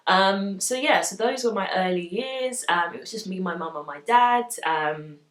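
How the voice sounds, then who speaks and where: A woman's voice: medium pitch, moderate pace, a bit bright. A young woman from Uganda, raised in the UK, talking casually and candidly to camera in a chatty vlog, with lots of ums. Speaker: style vlogger. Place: room at home lit by studio lights. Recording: roomy, slightly distant mic.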